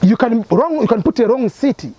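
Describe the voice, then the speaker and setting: A man's voice: very high voice, lively intonation, brisk, warm tone. A man from Tanzania, hosting an advice channel and explaining his points through repeated examples. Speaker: immigration advice channel host.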